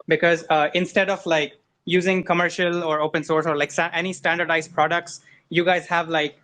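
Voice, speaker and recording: Man, slightly high pitch, halting, casual technical questioning. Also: little pitch movement, sharp tone.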